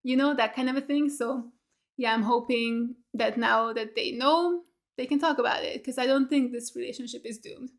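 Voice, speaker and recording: A chatty young woman in her twenties talks through a show recap from a small home studio, her voice sitting a little high. She fills her talk with "like" and "right?", and her throat sounds a bit tired. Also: normal speed. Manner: chatty, lots of fillers and rhetorical questions, gestures with hands. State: voice getting tired. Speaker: reaction video host. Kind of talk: casual recap chat.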